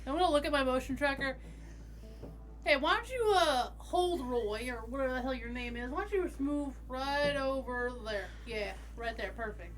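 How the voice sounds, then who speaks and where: Woman player, very high voice, sarcastic and foul-mouthed in-character game banter. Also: expressive, normal speed. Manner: sarcastic, sweary. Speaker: tabletop roleplaying game player.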